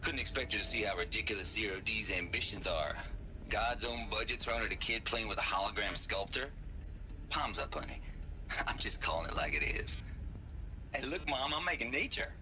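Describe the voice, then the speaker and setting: An actor playing an irreverent, sardonic hacker in a game audio log, with a smug, teasing drawl. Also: male voice, lowish voice, lively intonation, moderate pace, pushed and bright, slight background noise. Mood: mocking. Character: cocky, irreverent Southern hacker man, sly and sardonic.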